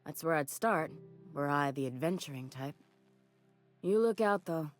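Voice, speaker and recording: An actress playing a brisk, no-nonsense space station trader in a video game, wry, businesslike and faintly exasperated. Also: female voice, sits low, animated, faint music behind. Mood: businesslike caution. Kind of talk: video game dialogue acting.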